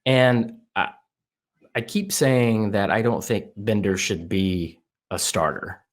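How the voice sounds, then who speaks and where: A man hosting a casual soccer podcast, eager and talking in quick bursts as he asks his guest questions. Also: lowish voice. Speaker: podcast host.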